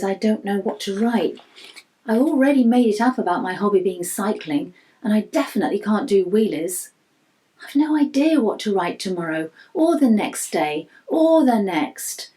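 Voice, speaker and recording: Woman doing a worried schoolboy reading his diary, chatty n matter-of-fact, kids audiobook, normal mid pitch. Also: a little mellow, lightly acted. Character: anxious, candid Year 6 schoolboy writing his diary. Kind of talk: first-person children's audiobook narration.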